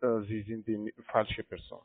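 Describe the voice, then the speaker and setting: A man with a foreign accent on a sales call, speaking hesitantly in halting non-native phrasing. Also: lowish voice, monotone, no room sound. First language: not native German speaker. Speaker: cold-calling online trading agent. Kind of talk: unscripted phone sales call. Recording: tinny narrow phone line.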